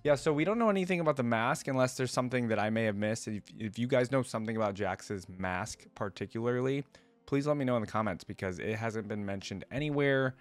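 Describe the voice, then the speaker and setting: A man's voice: medium pitch, fast, no room sound, faint music behind. A man hosting a casual reaction show, talking conversationally, thinking aloud and putting questions to viewers as he reads along. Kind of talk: casual reaction commentary, reading wiki pages aloud.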